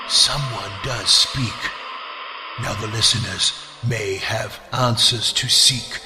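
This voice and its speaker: A man playing a doomed, eerie radio host, reciting an ominous horror rhyme in a deliberate way. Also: medium pitch, close to an everyday voice. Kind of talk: slow rhyming horror monologue. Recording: music bed under the voice.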